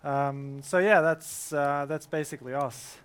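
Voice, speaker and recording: A man presenting at a conference, talking in a relaxed, joking way with many fillers. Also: on the high side, a little slow, smooth. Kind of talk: casual conference talk. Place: conference room.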